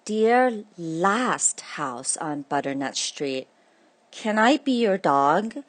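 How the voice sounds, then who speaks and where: A woman acts out a hopeful stray dog from a children's storybook. The dog is lonely and eager, pleading its case at a very slow, deliberate pace. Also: fairly low pitch, big pitch swings, exaggerated voice. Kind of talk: children's read-aloud.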